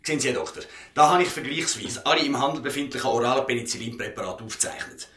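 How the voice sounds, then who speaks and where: A man's voice: medium pitch, expressive, rapid-fire, pushed and bright. An actor with a Swiss German accent playing a pushy but resigned drug salesman with an insistent patter in a seventies radio play. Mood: pushy, eager. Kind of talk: 1970s radio play acting. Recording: roomy studio radio play sound.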